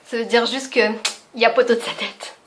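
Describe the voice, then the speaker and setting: A young woman talks through her video off the cuff, in a fairly high voice. She laughs easily and fills her pauses with little hesitations, in an unscripted, chatty way. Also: steady tone, a bit bright, a little hiss or hum. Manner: chatty and spontaneous, laughs often, many fillers. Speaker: vlogger. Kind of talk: casual unscripted video chat.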